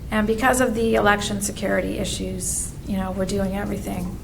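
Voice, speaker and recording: A hoarse woman giving a registrar's report at a town meeting, chatty and full of fillers and restarts. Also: mid-range voice, little pitch movement, brisk, noisy background. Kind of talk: spoken report at a public meeting.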